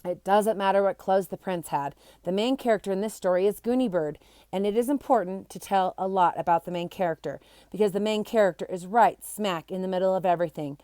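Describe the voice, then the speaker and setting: A confident schoolgirl storyteller, put on by a woman reading a storybook. She is assured and a little bossy, explaining things in a matter-of-fact way at a medium pitch. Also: fairly quick, warm tone, dry recording, studio quality, natural voice. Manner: assured, instructive.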